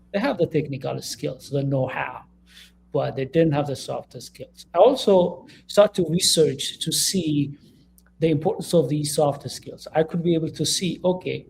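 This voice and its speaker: A man's voice: on the high side, vocal fry, darkish tone, a little hiss or hum, poor audio. A middle-aged man from Ethiopia, a leadership coach speaking as an interview guest, earnest and emphatic.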